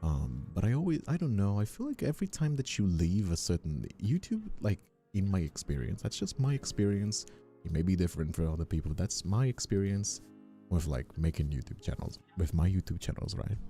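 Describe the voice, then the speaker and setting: A man whose first language is Spanish chats casually as a voice actor and creator, halting and often repeating himself. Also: deep voice, sing-song, warm tone. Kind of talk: casual chat. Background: music.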